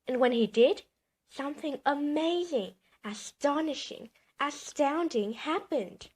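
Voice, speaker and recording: A woman reading a children's bedtime story aloud in an expressive, emphatic storytelling manner. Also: sits high, takes it slowly.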